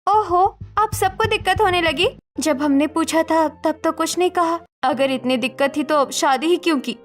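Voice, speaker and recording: Woman playing a high-voiced, defiant young wife. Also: normal speed. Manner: petulant, dismissive. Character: sulky, defiant, manipulative young daughter-in-law.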